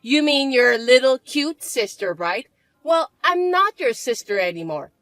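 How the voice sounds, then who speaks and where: A woman voicing a spoiled young stepsister who taunts and bosses in a shrill, mocking way. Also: lively intonation, a little slow, fairly bright tone, no echo, exaggerated voice. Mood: taunting.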